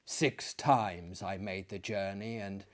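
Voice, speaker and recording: A man voicing a weary, haunted friend in a dramatic storybook reading, speaking gravely and deliberately. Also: sits low, steady tone, voice pushed down. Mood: grim, resolute. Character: weary, haunted male friend recounting deaths.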